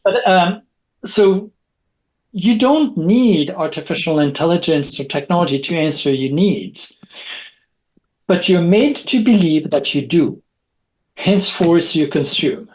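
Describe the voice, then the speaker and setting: A middle-aged man with French as his first language talks as a podcast guest over a video call. His voice sits fairly high for a man, and he rambles, circling back with repeated words and a habitual "right?" as he builds a point. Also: measured pace, a little mellow, muffled recording. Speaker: guest, technology expert. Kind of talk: conversational podcast interview.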